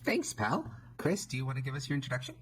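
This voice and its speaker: A man's voice: medium pitch, big pitch swings, fast talker, fairly bright tone, slightly rough. A man hosting a podcast, opening the episode and introducing the guests in a friendly, upbeat way. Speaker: podcast host. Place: Alberta.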